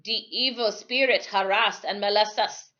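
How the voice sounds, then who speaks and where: A woman voicing a superstitious old West Indian sailor man in a storybook, grumbling darkly in slow, emphatic dialect. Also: medium pitch, steady tone, bright, forceful tone, muffled recording, close to an everyday voice. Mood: ominous, grumbling.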